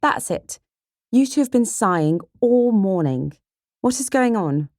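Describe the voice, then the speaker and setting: A woman's voice: fairly low pitch, big pitch swings, brisk, a little mellow, dry recording, clean studio sound, pitched above the performer's own voice. A woman voicing an outspoken, impatient young sister in a period romance audiobook, with a blunt, emphatic delivery. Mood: impatient, teasing.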